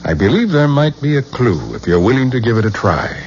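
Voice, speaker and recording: An actor playing a scholarly, courteous museum curator with a measured, formal manner in a 1970s radio drama. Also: male voice, mid-range voice, big pitch swings, natural voice. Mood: earnest, persuasive. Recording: dull, slightly noisy 1970s radio recording.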